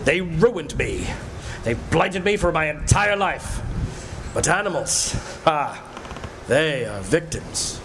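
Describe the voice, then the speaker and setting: A high, wildly varied voice from a male actor for a deranged villain who hates humanity. He gloats and menaces in old-time radio play fashion. The live recording has clear background noise. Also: big pitch swings, takes it slowly. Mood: gloating, crooning. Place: performed live in a cafe.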